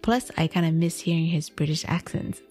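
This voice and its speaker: A woman's voice: deep voice, fairly quick, a little mellow, soft background music. A woman from Taiwan hosting a podcast, chatty and self-deprecating, reading scripted narration that moves between English and Mandarin. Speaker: podcast host.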